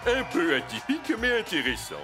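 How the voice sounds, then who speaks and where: An actor, a man, playing a pompous, fussy man in an animated cartoon with a measured manner. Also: very high-pitched, loud music behind the voice.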